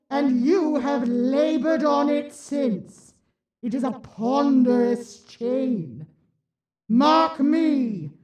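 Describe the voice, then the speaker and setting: A woman's voice: high voice, darkish tone. A woman playing the mournful, stern ghost of a dead businessman in a radio drama, solemn and declamatory. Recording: echoey, distant, ghostly reverberant sound.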